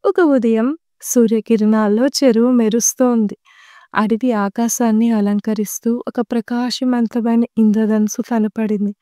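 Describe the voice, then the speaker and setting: A woman narrating a scripted children's story in clear, simple phrasing addressed to young listeners. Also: a little high, fairly even intonation, a little slow, warm tone, dry recording, clean studio sound.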